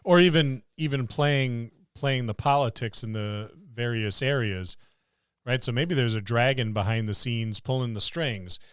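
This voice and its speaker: A man's voice: mid-range voice, unhurried, clear, resonant tone, no echo, studio quality. A man co-hosting a casual tabletop role-playing podcast, thinking aloud and repeating words for emphasis. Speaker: podcast co-host and experienced game master.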